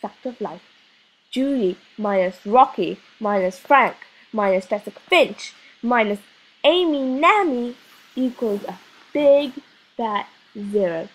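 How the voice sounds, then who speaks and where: A woman narrating a children's chapter book aloud, taking it slowly and leaning expressively into key words. Her voice is breathy and husky, pitched a little high for a woman. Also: low-quality recording. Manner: slow, expressive reading with lively emphasis. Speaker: audiobook narrator.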